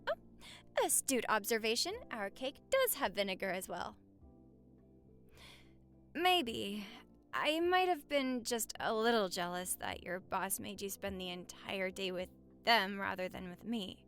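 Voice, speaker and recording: A woman's voice: mid-range voice, lively intonation, unhurried, fairly bright tone, soft background music. A woman acting a playful, lovestruck newlywed wife in a romantic audio roleplay, teasing and flirting in intimate, easily flustered banter. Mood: affectionate banter.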